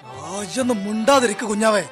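An irritable villager with a high voice and Malabar Malayalam accent. He scolds colloquially over clear music in a radio play. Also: animated, brisk. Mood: irritated. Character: irritable villager shushing a companion.

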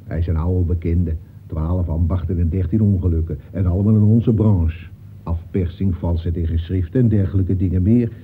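Plodding radio drama policeman, male actor: lowish voice, polite, long-winded, on a thin narrow-band recording. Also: steady tone, moderate pace, lightly acted. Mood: chatty, matter-of-fact. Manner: roundabout, official, punctuates with polite address. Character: plodding, chatty, deferential police officer. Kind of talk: Dutch radio play acting. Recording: muffled, noisy old radio play recording.

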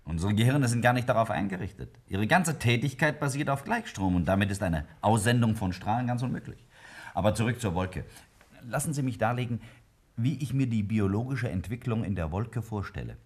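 A man's voice: lowish voice, lively intonation, a little fast, breathy edge. A man playing a brilliant, self-assured scientist in a 1950s German radio drama, lecturing emphatically and brusquely. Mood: emphatic, certain. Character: brilliant, self-assured male scientist explaining a daring theory. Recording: vintage 1950s radio play recording.

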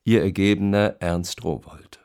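Radio drama: a businesslike male publisher, deep voice, formal and emphatic letter delivery. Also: steady tone, a little slow, darkish tone, smooth.

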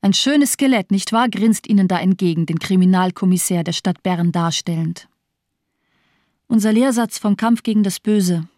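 A woman's voice: fairly low pitch, mellow, dry recording. Bitter disillusioned woman, cold sardonic radio drama voice, darkish, very dry sound. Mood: bitterly sardonic. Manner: cold, lifeless, sardonic delivery. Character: embittered, disillusioned woman, former communist and camp survivor.

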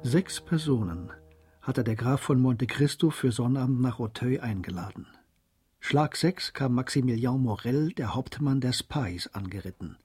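A man's voice: medium pitch, unhurried, warm tone, slightly rough. A man narrating a German radio drama as a composed literary storyteller. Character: composed storyteller of a period novel.

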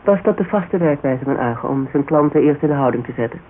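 Casting: actor as probing male investigator, fairly high voice, patient and terse, radio play with narrow hissy sound. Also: expressive, quick pace, lightly acted. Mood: businesslike probing. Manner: probing, terse, murmuring acknowledgements. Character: patient, businesslike male investigator questioning a witness. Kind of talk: naturalistic radio drama dialogue. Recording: muffled, hissy old radio recording.

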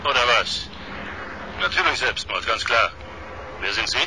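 An actor playing a curt, condescending police officer on the phone, brusque and suspicious, in a German radio drama. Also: male voice, lowish voice, expressive, a little slow, background noise. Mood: impatient.

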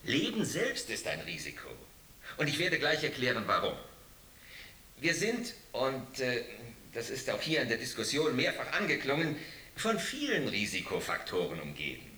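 A man's voice: medium pitch, huge pitch swings, moderate pace, sharp tone. An actor in a radio drama playing a male panel speaker arguing in hesitant, declamatory phrases. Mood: insistent. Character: argumentative panel speaker lecturing on risk. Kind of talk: public discussion speech in radio drama. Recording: distant, echoey room.

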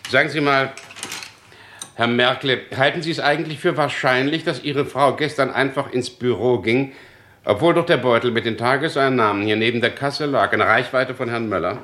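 A man's voice: mid-range voice, normal speed, a bit bright, lightly acted. A man playing a skeptical, methodical police detective in a radio crime drama, polite but insistent in his questioning. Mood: probing. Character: probing, skeptical male police detective leading an investigation. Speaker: actor in a crime radio play.

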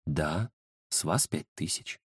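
A man voicing a sly, unflappable female fortune-teller who mutters in a deadpan way in a children's story. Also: on the low side, moderate pace, no room sound, clean studio sound.

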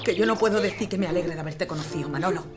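A woman's voice: lowish voice, racing, lightly acted. An actress playing a protective, defiant woman fleeing war in an audio drama, forthright and sardonic, with an accent from Spain. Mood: bitter, reproachful. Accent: Spanish, from Spain.

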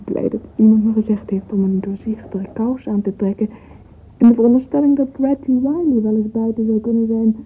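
A woman's voice: a little high, fairly even intonation, a little fast, slightly rough. An actress playing a dreamy, yearning, romantic young woman in a radio drama monologue. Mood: yearning, fascinated. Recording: thin phone-like old radio recording, noisy.

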